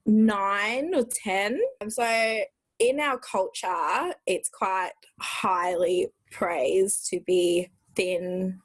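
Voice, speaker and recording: Unhurried woman in her twenties. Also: mid-range voice, dry recording. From Thailand. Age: 20s. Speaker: podcast guest. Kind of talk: casual conversation.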